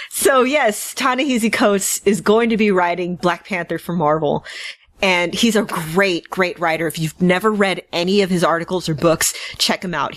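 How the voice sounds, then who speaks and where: A woman's voice: medium pitch, no echo. A woman co-hosting a fan podcast, chatting casually and enthusiastically, with wry asides and digressions. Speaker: podcast co-host. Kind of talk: casual fan news chat.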